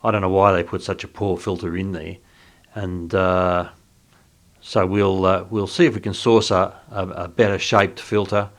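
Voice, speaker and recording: A man with a low-pitched voice presents an informal hobbyist walkthrough. He talks without a script, pausing often and feeling his way through each explanation as he goes. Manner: halting, unscripted, thinking aloud. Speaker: video host, radio hobbyist.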